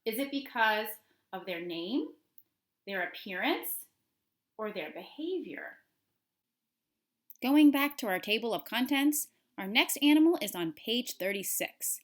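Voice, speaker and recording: A woman teacher reading a nature book aloud to children, enunciating clearly and expressively and putting questions to her young listeners. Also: fairly high pitch, sing-song, measured pace, long pauses. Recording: echoey, roomy sound.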